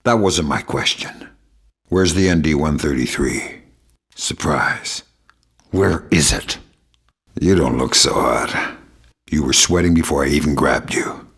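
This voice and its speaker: An actor playing a grizzled, middle-aged male covert agent in a video game, with a gravelly, deadpan, quietly threatening delivery. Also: rumbling low, unhurried, long pauses, exaggerated voice. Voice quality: gravelly, husky. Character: grizzled veteran male covert agent, menacing, sardonic, dry-witted. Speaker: video game lead character. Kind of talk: dramatic video game acting.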